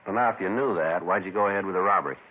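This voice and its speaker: An actor playing a terse, deadpan police detective who questions a suspect in businesslike fashion, in 1950s radio drama style. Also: male voice, low voice, very fast, husky. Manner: clipped, deadpan, procedural. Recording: tinny, noisy 1950s radio recording.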